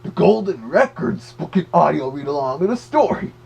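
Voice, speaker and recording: A man's voice: a little high, very animated, normal speed, gravelly, darkish tone, husky, exaggerated voice. A man voicing a cartoon Big Bad Wolf who gives chatty, slightly hesitant commentary as a record reviewer. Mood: chatty, informative.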